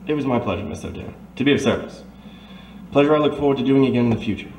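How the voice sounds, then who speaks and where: A man's voice: mid-range voice, expressive, racing, darkish tone, lightly acted. Male actor as courteous, reassuring Major for detective serial; formal manner, roomy distant sound, faint noise. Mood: gently reassuring. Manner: formal, polite, old-fashioned turns of phrase. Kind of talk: audio drama acting in a 1930s detective serial.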